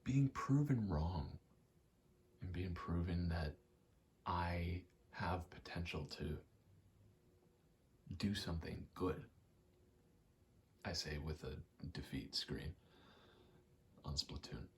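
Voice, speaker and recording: Casting: young man, low soft voice, halting casual ASMR rambler, faint noise behind. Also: expressive. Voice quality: soft, low, often slipping into whisper. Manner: halting, self-deprecating, casually sweary. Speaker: ASMR creator. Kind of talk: rambling ASMR, casual personal chat while gaming.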